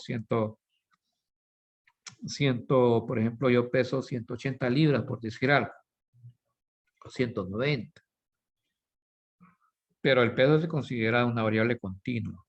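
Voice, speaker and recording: A man lecturing in a middle-range voice, walking his students through one example after another and tagging short check-in questions onto each point. The recording is a little dull and lo-fi, like a computer mic on an online class. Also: medium pitch. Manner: asks "¿sí?" and "¿verdad?" constantly; self-correcting, explains with everyday examples. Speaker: teacher.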